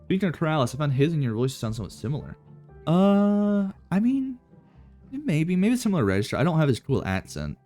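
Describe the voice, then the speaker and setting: A man's voice: fairly high pitch, big pitch swings, fairly quick, dark tone, soft background music. A man giving casual, rambling gameplay commentary and answering chat, joking about himself and then boasting. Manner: rambling, self-deprecating, playfully cocky. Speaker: Minecraft YouTuber.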